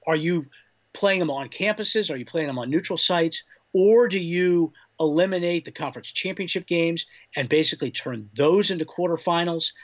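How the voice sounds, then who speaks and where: An American man, a sportswriter guest, talking through college football analysis in a measured, conversational way. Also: a little high, muffled recording. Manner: measured, reasoning through points.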